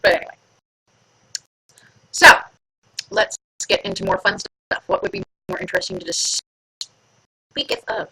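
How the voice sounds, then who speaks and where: A woman's voice: medium pitch, sing-song, normal speed, long pauses, sharp tone, breathy edge, rough recording. A woman hosting a live stream from home, chatting casually and playfully with her viewers and teasing herself. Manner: chatty, playful, self-mocking. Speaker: livestream host.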